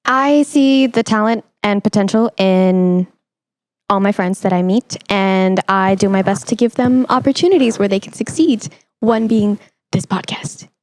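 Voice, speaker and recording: A woman's voice: medium pitch, unhurried, a little mellow, dry recording. A woman hosting a podcast in casual, playful chat, with mock-indignant banter. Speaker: podcast host.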